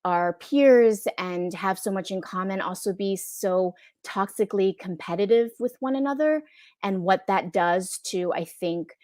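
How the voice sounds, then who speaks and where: A woman's voice: medium pitch, a little slow, a little mellow, no echo, studio quality. A middle-aged American woman giving an earnest, thoughtful answer on a video-call panel, often checking for agreement. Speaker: film impact producer, guest. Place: indoor room, on a video call.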